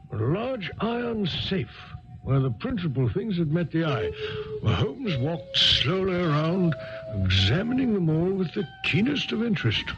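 An actor playing an earnest gentleman companion to a detective, performing and narrating in a 1970s radio drama. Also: a little high. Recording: dull 1970s radio recording, music behind.

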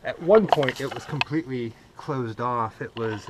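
A man's voice: mid-range voice, normal speed. Young man exploring ruins on camera. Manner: chatty, swears when startled. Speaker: urban explorer vlogger. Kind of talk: casual exploring vlog. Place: woods and dark concrete ruins outdoors. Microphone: handheld action camera. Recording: noisy outdoor camera audio.

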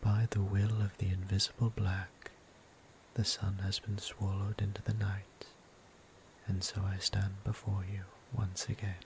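A man voicing a gentle, courtly vampire priest in an ASMR roleplay, breathy and half-whispered, with formal, tender, old-fashioned phrasing. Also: deep voice, little pitch movement, unhurried. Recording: clear background noise and ambience.

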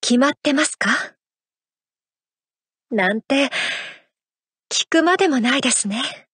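A woman voicing a graceful, playful young female idol in a mobile game, speaking soft, intimate and affectionate lines. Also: high voice, expressive, takes it slowly, dry recording, full character voice. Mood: tender. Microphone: studio mic.

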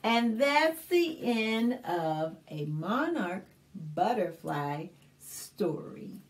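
A middle-aged American woman hosting a storytime, reading a picture book aloud to young children in an expressive, deliberate way with simple explanations. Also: mid-range voice. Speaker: children's librarian and storytime host. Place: small indoor room, plain backdrop.

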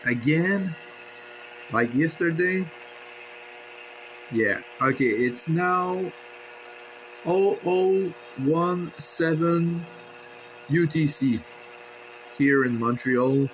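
A man's voice: fairly high pitch, extremely slow, pauses often, rough recording. A man chatting casually and off the cuff as he tests a radio, rambling to himself in slangy talk. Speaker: shortwave radio hobbyist filming a scanning video. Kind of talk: casual off-the-cuff chat while testing a radio. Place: indoors at a desk. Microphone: handheld phone. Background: shortwave radio noise.

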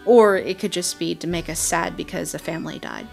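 A woman hosting a game video, giving an excited, chatty, emphatic voice-over breakdown. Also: fairly low pitch, a little fast, creaky. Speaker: video game channel host. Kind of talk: game trailer breakdown voice-over, semi-scripted. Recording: voice-over with background music. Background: music.

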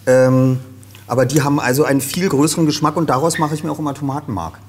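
A middle-aged man, a guest cook, explaining his cooking in a relaxed, hesitant way with many fillers, in a studio kitchen. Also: medium pitch, fast talker, a little hiss or hum. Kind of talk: casual cooking chat. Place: studio kitchen set, indoors.

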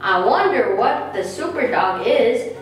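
Puppet show kid voiced by a woman: curious, high, exaggerated, distant in an echoey room. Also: lively intonation, unhurried. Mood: musing. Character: hungry, curious, eager young boy puppet. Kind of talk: children's church puppet show. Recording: echoey room, distant mic, music behind.

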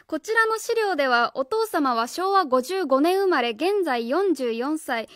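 Woman acting a high-voiced, earnest schoolteacher. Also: expressive, measured pace, fairly bright tone, dry recording, studio quality. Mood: polite, suspicious. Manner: polite, precise, interrogating. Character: earnest, suspicious female schoolteacher on a home visit. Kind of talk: Japanese radio drama acting.

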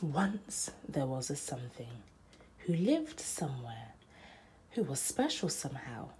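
A young woman narrating a children's bedtime picture book aloud, with deliberate, dramatic phrasing. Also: a bass voice, very animated, dark tone.

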